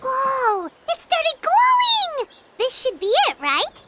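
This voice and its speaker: Squeaky little fairy sidekick, third-person speech, lively swoops, slow drawl, game music behind. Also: female voice, noisy background, exaggerated voice. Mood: excited. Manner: refers to herself in third person. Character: small floating female fairy companion, chatty. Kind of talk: video game voice acting.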